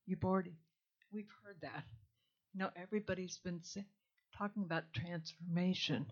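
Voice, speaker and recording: An older woman with a low, grounded voice gives an informal talk to a live crowd, candid and chatty with a dry humor. The sound is dull and a bit lo-fi, as if picked up from a microphone in a hall. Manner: candid, chatty and warm-humored, with soft laughs. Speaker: invited speaker.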